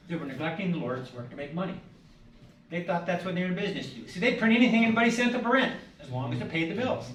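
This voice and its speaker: A man's voice: a little high, a bit bright. A man from Michigan who directs a historic village, guiding visitors on a tour and telling stories with a joking, folksy manner. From Michigan, USA. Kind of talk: guided heritage tour talk. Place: indoor historic building on a tour. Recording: roomy echo, far from the mic, noise behind.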